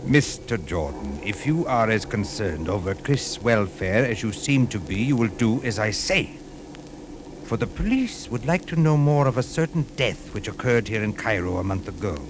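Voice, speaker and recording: A polite, menacing gentleman schemer from a fifties radio thriller. His middle-aged voice moves freely up and down with formal, insinuating courtesy. The old recording is clearly noisy. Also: lowish voice, sing-song, normal speed. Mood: veiled menace. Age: 50s. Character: suave, menacing middle-aged Greek businessman with veiled threats. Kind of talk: 1950s radio drama acting. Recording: noisy 1950s radio recording, vehicle hum.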